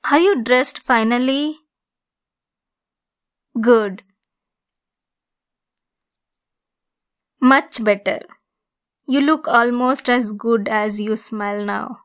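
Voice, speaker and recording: Slow, high-voiced possessive centaur woman, acted by a woman. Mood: coaxing, possessive. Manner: slow, deliberate, insistent, clingy. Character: possessive yandere female centaur, lonely, obsessive, domineering. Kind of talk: ASMR roleplay monologue.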